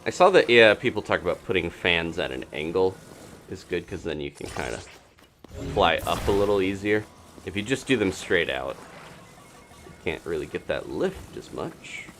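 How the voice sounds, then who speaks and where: A man streaming a video game, giving casual live commentary and chatting as he thinks out loud. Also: deep voice, sing-song, normal speed, faint music behind.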